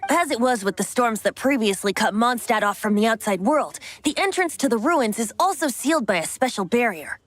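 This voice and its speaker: A woman's voice: fairly high pitch, animated, fairly quick, hardly any pauses, no echo. A woman voicing a playful, theatrical young male bard in a video game, speaking eloquently like a storyteller. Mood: explaining, earnest. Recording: music under the voice.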